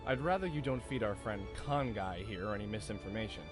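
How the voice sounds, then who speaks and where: A man's voice: lowish voice, lively intonation, brisk, over music, lightly acted. A man playing a wry, easygoing detective in a visual novel, with a teasing, candid manner. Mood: dry. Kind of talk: visual novel character voice read-aloud.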